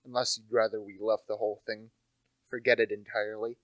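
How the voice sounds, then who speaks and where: A young man playing a precise, brooding, intellectual gentleman detective in a radio play, deliberate and theatrical in delivery. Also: fairly low pitch, level tone, dry recording, close to an everyday voice, low-quality recording. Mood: determined. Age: young adult.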